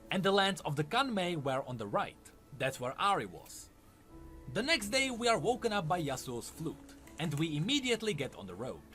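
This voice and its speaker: A man's voice: on the high side, pushed and bright. A man delivering scripted lore narration in an explanatory, storytelling way. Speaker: video essay narrator.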